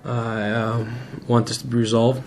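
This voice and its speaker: A high school boy playing an aggrieved student in an amateur dramatization, recorded in a noisy room. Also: level tone, a little slow, lightly acted. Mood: resigned, conciliatory. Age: teenager, high school student. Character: aggrieved teenage boy in a fight dispute. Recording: noisy room with background hiss.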